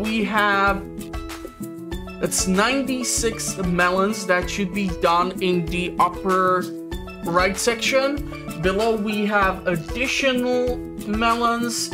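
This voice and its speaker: A woman casually commenting on her farming game, rambling and tripping over words with joking asides. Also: medium pitch. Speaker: gameplay commentator. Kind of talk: casual let's-play commentary. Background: game soundtrack.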